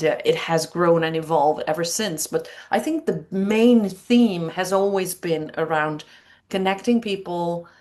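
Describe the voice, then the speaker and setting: A woman in her fifties with a Dutch accent answers interview questions in a reflective, conversational way. Her voice sits a little low and her pace is very slow, with hesitant pauses between phrases. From Netherlands. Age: 50s. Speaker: interview guest, training coach.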